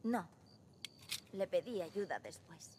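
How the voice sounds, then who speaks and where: Plucky sarcastic teen girl, castilian spanish, video game, bouncy pitch, really noisy behind. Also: lively intonation, slow. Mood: subdued. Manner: sarcastic, offhand. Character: cheeky, plucky teenage girl survivor. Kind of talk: dramatic video game voice acting.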